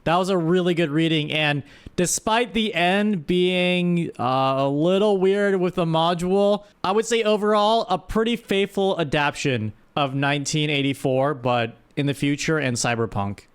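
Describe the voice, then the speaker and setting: A man's voice: on the high side, normal speed, clear, resonant tone. A man hosting a stream with casual comedic commentary, exasperated and playfully scolding as he reads out what viewers write. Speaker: streamer host.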